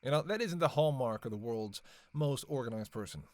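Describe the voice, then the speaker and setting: A man's voice: medium pitch, lively intonation, a little fast, no echo, lightly acted. A snarky male vampire, acted by a man in an audio roleplay, talking casually in a mid-range voice. He needles and teases, drawing out his hesitations, and the sound is close and dry. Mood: teasing, snarky. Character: sarcastic, teasing male vampire on a road trip.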